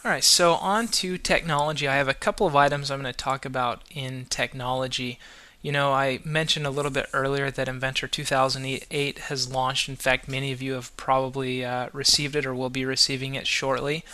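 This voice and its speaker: Man, podcast host, casual rambling delivery, crisp voice, bright tone. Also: medium pitch, fairly quick. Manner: relaxed, rambling with many restarts and fillers.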